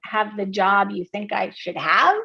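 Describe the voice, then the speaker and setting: A woman's voice: medium pitch, fairly even intonation, a little slow, gravelly, pushed and bright, dull audio. A woman from Puerto Rico, a guest in an interview, talking earnestly with many restarts and fillers. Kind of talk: candid interview conversation.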